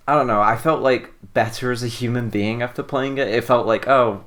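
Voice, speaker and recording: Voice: medium pitch, fairly even intonation, fairly quick, a bit bright. A podcast co-host chatting casually about games in a rambling, hedging way, with lots of filler.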